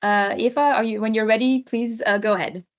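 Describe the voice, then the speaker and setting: A woman's voice: a little high, steady tone, brisk, muffled recording. A woman moderating a webinar, politely thanking speakers and passing on questions.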